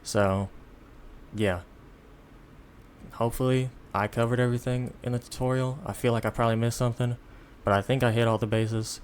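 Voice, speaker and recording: A man giving a casual, unscripted software tutorial in an informal, halting, step-by-step way, with background noise. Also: mid-range voice, steady tone. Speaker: tutorial presenter.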